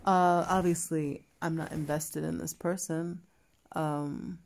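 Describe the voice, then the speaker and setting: A woman's voice: low voice, steady tone, takes it slowly, gravelly, darkish tone. A woman telling a personal story to her viewers in a chatty way, full of fillers and restarts, acting out both sides of a conversation. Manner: chatty, many fillers and restarts, quotes others in dialogue. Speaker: vlogger. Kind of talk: casual storytelling to camera.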